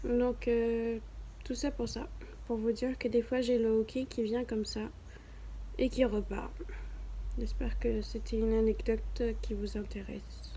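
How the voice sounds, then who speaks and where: A woman's voice: on the high side, flat delivery, unhurried, warm tone, a little hiss or hum. A young woman with a southern French accent, chatting casually to camera at home in a rambling, self-mocking way. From southern France. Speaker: beginner vlogger.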